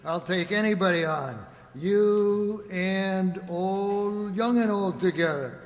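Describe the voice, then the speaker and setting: An actor playing a boastful, hard-drinking frontier tavern bully in a radio drama, with coarse, folksy, swaggering speech. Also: male voice, high-pitched, takes it slowly. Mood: belligerent, boastful. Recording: echoey hall, reverberant room.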